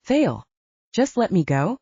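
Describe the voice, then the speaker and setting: A woman's voice: mid-range voice, sing-song, slow pace, dark tone, breathy edge, dry recording, lo-fi sound, exaggerated voice. A woman reading the lines of a nervous, self-mocking young human woman in an audiobook. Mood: anxious.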